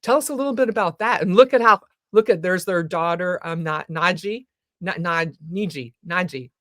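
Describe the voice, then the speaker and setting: A woman's voice: fairly low pitch, moderate pace, a bit bright, dry recording, clean studio sound. A woman hosting a live interview before an audience, with an effusive, exclaiming manner. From military family, lived partly in Germany as a child. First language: German. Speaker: talk show host.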